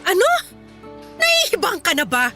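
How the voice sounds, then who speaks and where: High, sharp hot-tempered mother. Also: wildly swooping pitch, moderate pace, full character voice. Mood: threatening, defensive. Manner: scolding, dramatic, stubborn. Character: defensive, hot-tempered middle-aged hoarder mother. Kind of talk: Tagalog radio drama acting. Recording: music underneath.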